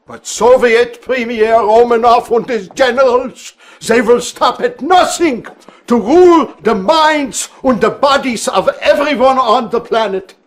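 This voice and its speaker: High-voiced, slow old scientist. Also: male voice. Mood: earnest, solemn. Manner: halting, deliberate, with repeated words. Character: elderly earnest scientist, gentle and halting. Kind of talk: dramatic game cutscene acting.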